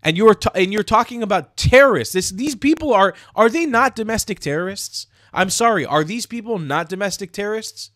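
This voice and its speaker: A man's voice: high voice, lively intonation, a little fast, hardly any pauses, fairly bright tone, no echo. A young man from the United States ranting angrily and sarcastically in his own online commentary, speaking into a studio mic in a small room. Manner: heated, sarcastic, swearing. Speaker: commentary youtuber. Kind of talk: angry commentary rant. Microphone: studio mic on stand, headphones.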